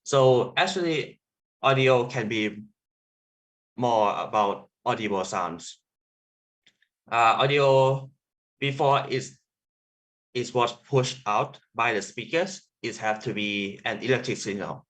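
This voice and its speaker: A young Thai man with a Thai accent, a sound artist giving an online workshop talk in English, halting and full of fillers and restarts. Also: medium pitch, fairly bright tone. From Thailand.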